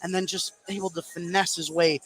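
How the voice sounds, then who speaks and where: A man's voice: high voice, fairly even intonation, a little fast, a bit bright. A man doing live play-by-play basketball commentary on the radio, narrating in short clipped phrases. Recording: noisy gym ambience behind. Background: ambient noise of a basketball game.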